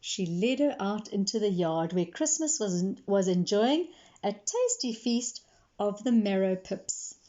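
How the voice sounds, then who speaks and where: A woman reading a children's story aloud in an expressive, animated way, acting out the dialogue. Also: medium pitch, measured pace. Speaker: storybook reader, librarian. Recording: dull, lo-fi sound.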